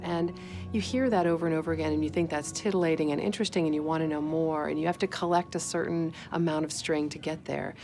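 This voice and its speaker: A woman with a very low voice and plenty of vocal fry speaks as a reporter in a documentary. Music plays clearly behind her account. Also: steady tone, quick pace. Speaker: investigative newspaper reporter. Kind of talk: documentary interview and narration.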